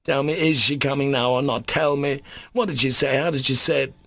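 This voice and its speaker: A man's voice: mid-range voice, moderate pace, a bit bright, dry recording, voice pushed up. Guy doing a wild anguished young russian man, all worked up, dry close sound. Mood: desperate. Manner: fervent, overwrought outbursts.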